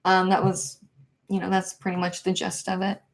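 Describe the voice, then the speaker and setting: Soft-spoken woman chatting on a livestream. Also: lowish voice, completely flat delivery, normal speed. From Kansas. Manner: soft-spoken, hesitant, many ums and likes. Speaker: livestream host. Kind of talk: casual livestream chat, reading viewer comments.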